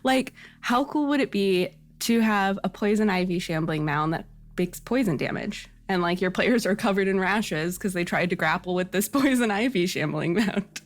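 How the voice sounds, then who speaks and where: A woman's voice: mid-range voice, animated, normal speed, hardly any pauses. A woman chatting casually as a panelist, playful and enthusiastic in how she talks. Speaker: podcast panelist. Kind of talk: casual chat.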